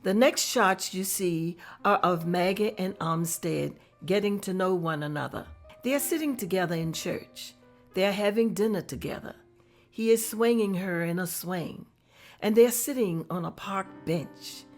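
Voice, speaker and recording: A woman narrating the scene directions at a table read in a plain, steady manner. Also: fairly low pitch, normal speed, soft background music. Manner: plain, steady descriptive reading. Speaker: narrator reading stage directions.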